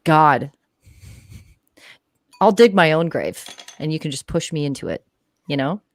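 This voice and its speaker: A woman with a lowish voice talks as a guest in a casual discussion. She is blunt and emphatic, swears freely, and is recorded dry, with very little room sound. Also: sing-song, a little slow, dry recording. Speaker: livestream guest, journalist.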